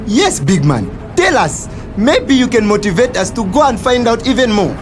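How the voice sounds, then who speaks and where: Casting: actor as doubting Zambian commuter, mid-pitched, casual questioner, audio drama with music bed. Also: sing-song, normal speed, natural voice. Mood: curious. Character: sceptical, uninformed commuter asking questions. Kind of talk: acted audio drama dialogue.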